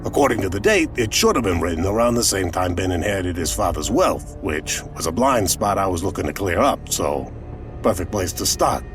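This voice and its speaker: An actor playing an older private detective, narrating a noir audio drama in a wry, colloquial, world-weary way. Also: male voice, sits low, very animated. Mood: intrigued, musing. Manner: wry, colloquial, deliberate storytelling. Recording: music under the voice. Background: music.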